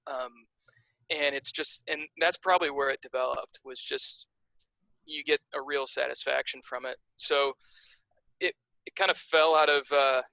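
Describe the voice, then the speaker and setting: A man's voice: mid-range voice, measured pace, creaky, dry recording, poor audio. A young man from the Chicago area with a Chicago accent, a podcast guest chatting loosely with lots of fillers and restarts. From Chicago area, USA. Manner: relaxed, rambling, many fillers and restarts. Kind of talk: casual interview chat.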